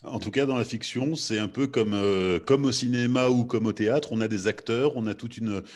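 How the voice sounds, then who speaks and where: A man's voice: medium pitch, moderate pace, darkish tone. A man hosting and moderating a panel discussion. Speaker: host, moderator.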